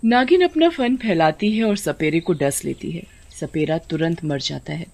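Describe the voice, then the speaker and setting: A woman's voice: low-pitched, lively intonation, moderate pace, gravelly, a little mellow. A woman reading a scripted story as its narrator.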